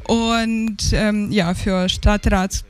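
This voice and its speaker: A woman's voice: medium pitch, steady tone, drawn-out words, a little mellow. A woman in her thirties from Ukraine with a Ukrainian accent, answering as an interview guest and hesitantly searching for words. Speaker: interview guest, advisory board member.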